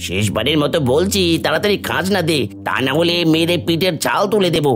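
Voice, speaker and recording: Bullying henchman voiced by a man, extremely animated pitch, threatening, impatient, cartoon folk tale, music behind. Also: a little fast. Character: bullying male henchman collecting rent for a landlord.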